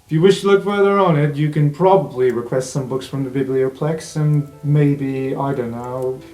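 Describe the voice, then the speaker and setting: A man playing an ancient, evasive professor in a tabletop game, musing in a measured way and trailing off. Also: mid-range voice, darkish tone, close to an everyday voice. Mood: speculative, dry. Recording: roomy sound under music.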